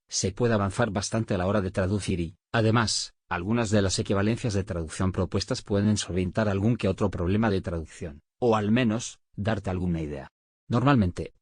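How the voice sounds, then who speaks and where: A man reading written answers aloud in an even, measured way. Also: low-pitched, breathy edge, dry recording, clean studio sound. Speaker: reader of survey answers. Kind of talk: scripted reading.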